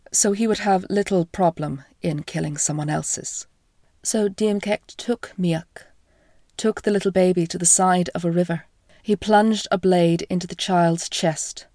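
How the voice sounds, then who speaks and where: A woman with a croaky voice from a chest infection, hosting a podcast and retelling an old myth in a slow, hushed, half-whispered way. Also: lowish voice, no room sound, studio quality. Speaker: podcast host and storyteller.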